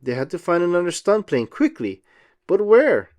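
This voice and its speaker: A man reading a children's storybook aloud as narrator, in an expressive style. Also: high voice, normal speed.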